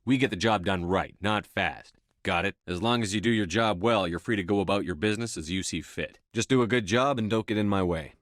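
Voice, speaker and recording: An actor playing a stern, steady human military commander in a sci-fi video game, clipped, authoritative and matter-of-fact. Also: male voice, fairly low pitch, a little fast, no room sound, clean studio sound, close to an everyday voice. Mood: firm. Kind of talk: video game dialogue acting.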